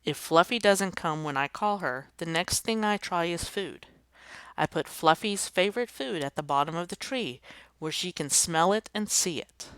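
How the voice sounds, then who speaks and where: A woman's voice: fairly low pitch, animated, moderate pace, fairly bright tone, smooth, dry recording. A woman voicing a stern, officious male school principal who lectures about rules. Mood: earnest.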